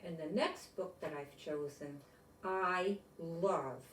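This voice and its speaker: Older lady reading a kids book aloud, slow n gentle, chatty asides, echoey room. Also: sits low, a little hiss or hum. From United States. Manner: gentle, simple grandmotherly explaining to children. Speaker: storyteller reading a picture book. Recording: roomy echo, distant microphone.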